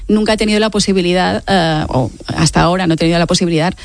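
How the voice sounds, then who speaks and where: A woman's voice: lowish voice, very fast, gravelly, dry recording. A woman in her late forties with an accent from Spain, answering interview questions in a reflective, explanatory way with frequent tag questions. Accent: Spanish from Spain. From Mallorca, Spain. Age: late 40s. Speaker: author, interview guest.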